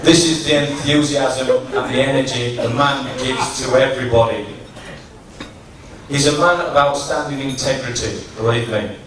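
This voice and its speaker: A man giving a humorous after-dinner tribute speech to an audience, in a teasing, deliberate storytelling manner. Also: medium pitch, steady tone. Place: large function room at a dinner, distant microphone. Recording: echoey noisy hall, from far off.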